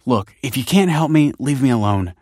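A man's voice: mid-range voice, expressive, moderate pace, a little mellow, no echo, pitched above the performer's own voice, natural voice. A man voicing a desperate, hot-tempered young man in an audiobook, pleading urgently in a strained way. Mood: irritated. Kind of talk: audiobook character voice.

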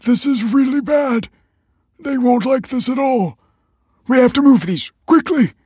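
A man voicing an agitated, paranoid old father in a dramatic audiobook story, with a strained, husky voice and desperate, indignant delivery. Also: extremely high pitch, measured pace, warm tone, dry recording, clean studio sound, voice pushed up, exaggerated voice. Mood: panicked, urgent.

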